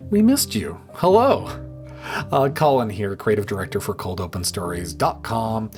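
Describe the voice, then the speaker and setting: A man speaking as a podcast host, making a friendly announcement straight to listeners. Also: on the low side. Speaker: podcast host, creative director.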